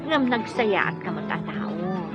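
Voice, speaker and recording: An actress playing a practical, frank young coworker and confidante in a radio drama. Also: female voice, lowish voice, lively intonation, measured pace. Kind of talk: Ilocano radio drama acting. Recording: muffled audio under loud background music.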